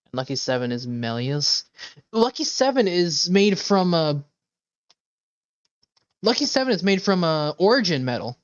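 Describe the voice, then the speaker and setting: A man's voice: high voice, big pitch swings, measured pace, long pauses, clean tone, no room sound, highs cut off. A man streaming a game, chatting casually and thinking aloud in a rambling, hesitant way. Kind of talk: casual livestream chat while playing.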